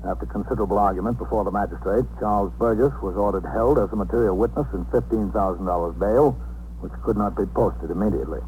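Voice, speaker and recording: Low-voiced, no-nonsense police captain, played by a man. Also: fast talker, phone-quality sound, lightly acted. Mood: factual, dry. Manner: matter-of-fact, clipped, firm. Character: dry, tough New York police captain narrating cases. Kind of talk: factual scripted narration, 1950s radio acting. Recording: noisy, humming 1950s radio recording.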